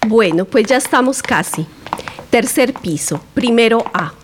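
A woman's voice: on the high side, expressive, a little slow, noisy background. A woman acting a breezy, boastful letting agent in an audio drama, piling up selling points as patter.

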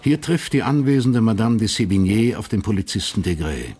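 A man narrating a period crime radio drama in a sober, measured, literary style. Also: lowish voice, very animated, a little mellow, slightly rough.

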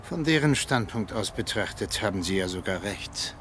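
An actor playing a haughty, threatening nationalist official in a radio drama, speaking coldly. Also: male voice, fairly low pitch, expressive, moderate pace, a bit bright, a little hiss or hum. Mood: cold menace. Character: menacing, authoritarian male nationalist official.

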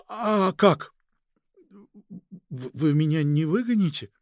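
A man's voice: fairly high pitch, expressive, moderate pace, a little mellow, dry recording, clean studio sound, close to an everyday voice. A man voicing a cowed, shifty police agent in a crime audiobook, hesitant and muttering. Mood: pleading, anxious. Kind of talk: dramatic audiobook character voice.

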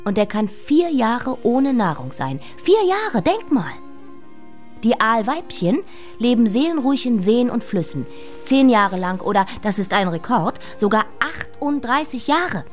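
An actress playing an eager, headstrong woman full of wonder in a radio drama, speaking with excited emphasis. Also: a little high, measured pace, faint music behind.

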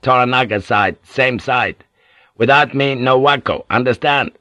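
A man voicing a scheming warlord who speaks halting, clipped English. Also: medium pitch, dry recording, voice pushed up. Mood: persuasive. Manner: clipped broken English, insistent, softly persuasive.

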